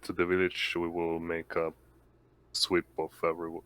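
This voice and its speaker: A man's voice: deep voice, monotone, moderate pace, a bit bright, clean tone, no echo. A man talking casually as a player in a tabletop game.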